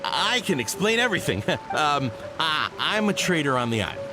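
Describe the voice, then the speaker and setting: Shifty, flustered male trader; high, jumpy voice, game music behind. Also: big pitch swings, moderate pace, poor audio. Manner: stammering, flustered excuses. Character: nervous, shifty male merchant.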